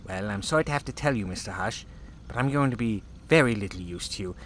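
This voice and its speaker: A man playing a courteous, reserved chief officer in a sci-fi audio drama, speaking in a polite, formal, measured way. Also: on the low side, lively intonation, fairly bright tone, a little hiss or hum. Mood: apologetic.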